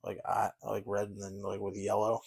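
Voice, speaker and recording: Low gravelly-voiced man chatting while painting. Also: completely flat delivery, normal speed, a little mellow. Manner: rambling, easygoing, self-deprecating. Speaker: miniature painting hobbyist. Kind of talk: casual chat while painting.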